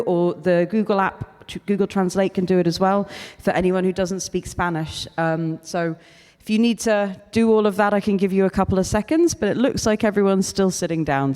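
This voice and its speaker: Female moderator, friendly and brisk, big hall. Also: mid-range voice, a little mellow. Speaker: panel moderator. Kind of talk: introducing speakers. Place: large conference hall. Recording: long hall reverb.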